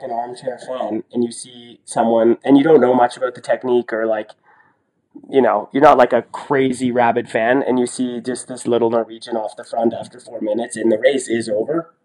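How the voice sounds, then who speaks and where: A man's voice: medium pitch, a little mellow, low-quality recording. A man chatting casually about sports as a podcast co-host, enthusiastic and emphatic, often rambling as he goes. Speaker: podcast co-host and ski racing commentator.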